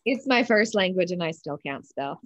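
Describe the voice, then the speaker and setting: A woman's voice: lowish voice, lively intonation, normal speed. A woman on a video call, giving short agreeing replies and laughing along. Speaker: interviewer. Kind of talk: casual video call chat.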